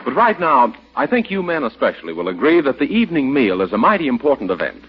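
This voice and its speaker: A man reads as a 1940s radio announcer, polished and emphatic like a salesman. His voice is husky with very lively intonation, on a dull old broadcast recording. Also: mid-range voice, fast, a faint crowd.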